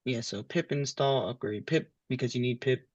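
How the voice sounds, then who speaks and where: A man learning as a student, thinking aloud haltingly as he reads through material and checks his understanding. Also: medium pitch, a little mellow, no echo, lo-fi sound. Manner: tentative, halting, asks to confirm understanding. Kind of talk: thinking aloud while reading through code.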